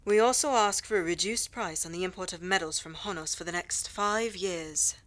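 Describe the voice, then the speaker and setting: A woman's voice: on the low side, moderate pace, fairly bright tone, close to an everyday voice. A woman playing a poised reigning queen in a fantasy audio roleplay, firm, formal and imperious. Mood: firm, businesslike.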